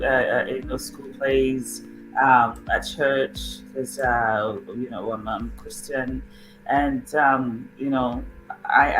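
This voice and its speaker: A man's voice: medium pitch, rough recording. Rambling, chatty man with music behind. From Nigeria. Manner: rambling, many fillers and restarts. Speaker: guest on an online show. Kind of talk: casual self-introduction.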